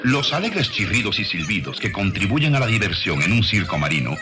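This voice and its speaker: Male documentary narrator, lowish register, animated melodic phrasing, explanatory scripted read, noisy recording. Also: fairly quick. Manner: didactic, rhetorical questions to the listener.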